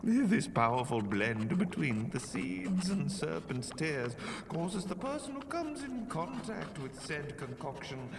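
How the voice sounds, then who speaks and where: A man's voice: on the high side, exaggerated voice. A man playing a stern professor in a reverberant hall. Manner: pedantic, scolding lecturer with deliberate phrasing. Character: stern, exacting male potions professor at a magic academy. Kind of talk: scripted fantasy audio roleplay. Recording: long hall reverb, faint noise.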